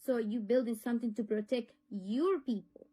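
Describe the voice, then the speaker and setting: A woman's voice: on the high side, normal speed, warm tone. A middle-aged woman from Honduras, a native Spanish speaker with a Honduran accent, earnestly and insistently making her case in a serious conversation. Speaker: parent of a pupil.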